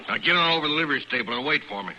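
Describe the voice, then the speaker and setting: Bullying cattleman father, rural Western drawl, hard-edged forceful voice, folksy threats, radio western, faintly noisy old recording. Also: on the high side, lively intonation, racing. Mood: angry, bossy. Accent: rural American West.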